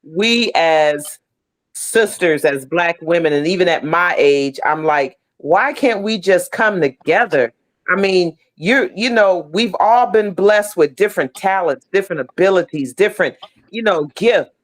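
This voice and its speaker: A woman's voice: fairly low pitch, unhurried. A middle-aged American woman speaking as an interview guest on a video call, heartfelt and emphatic, often repeating phrases. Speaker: podcast guest, stage play cast member. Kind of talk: casual, heartfelt conversation.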